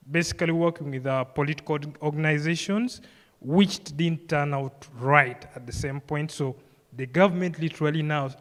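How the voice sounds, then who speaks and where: A young man with a Ugandan accent giving an informal personal speech to an audience, telling his story conversationally. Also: a little high. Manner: conversational storytelling with frequent "you know" and "like". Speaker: programme graduate giving a speech. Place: event hall, live on stage. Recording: reverberant hall sound.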